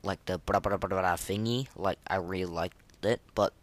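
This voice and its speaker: A podcast host chatting casually and rating things, rambling and halting, often repeating words and phrases. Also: low-pitched. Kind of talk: casual chat rating game voice lines.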